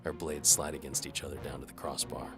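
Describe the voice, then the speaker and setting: An actor playing a world-weary medieval sword-for-hire, narrating his own adventure in wry, deadpan hardboiled style. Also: very deep voice, quick pace, over loud music. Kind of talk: first-person hardboiled audio drama narration.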